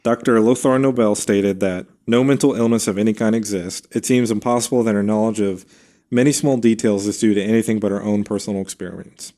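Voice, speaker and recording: A man with a fairly low voice reads a scripted history story as podcast host. He is measured, stepping out for casual asides. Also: fairly even intonation, warm tone. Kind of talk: reading a scripted history narration.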